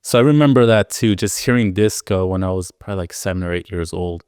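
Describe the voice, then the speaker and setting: A man's voice: low voice, quick pace, a little mellow, smooth, dry recording, studio quality. Easygoing man from the Sonoran border. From Arizona-Mexico border, Sonoran Desert. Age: 30s. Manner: easygoing, reflective storytelling with many fillers. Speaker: podcast guest, music producer and DJ. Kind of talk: casual interview chat.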